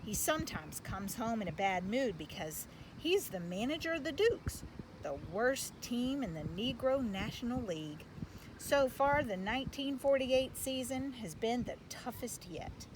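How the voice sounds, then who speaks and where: A woman reading a children's storybook aloud in an expressive narrating style, like a school educator. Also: a little high, far from the mic, noisy background.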